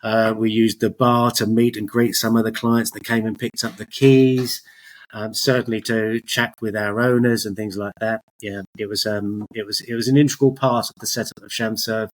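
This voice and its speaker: A man's voice: lowish voice, flat delivery, moderate pace, a little hiss or hum, low-quality recording. A middle-aged man chatting as an interview guest, telling his story in a hesitant, rambling, self-correcting way. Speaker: podcast guest, business owner.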